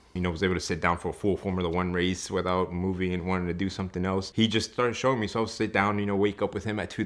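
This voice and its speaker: A man, telling a host the story of his life as a guest, eager and full of "you know" and quick restarts. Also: lowish voice, a bit bright. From Ecuador. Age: 30s.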